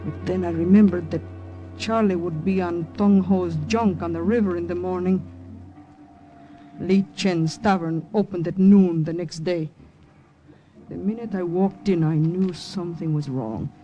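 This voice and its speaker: An actress playing a tense young woman spy, dramatic and deliberate, in old-time radio drama style. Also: on the low side, steady tone, warm tone, highs cut off, soft background music, lightly acted. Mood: grave, suspenseful. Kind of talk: 1940s-50s radio drama acting and first-person narration.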